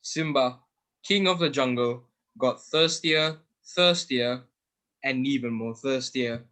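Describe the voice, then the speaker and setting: Young male narrator, mid-pitched, reading a scripted children's story. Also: slow, pushed and bright. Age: teenager or young adult student. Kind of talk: scripted storytelling, readers theater.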